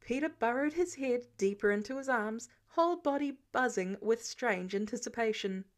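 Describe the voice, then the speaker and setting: A woman narrating fan fiction in an expressive storytelling style. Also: a little high, normal speed.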